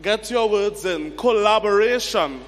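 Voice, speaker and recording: A man's voice: sits high, unhurried, fairly bright tone. A man chairing a youth session, prompting the audience in a conference hall. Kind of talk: moderating a youth panel. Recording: hall reverb.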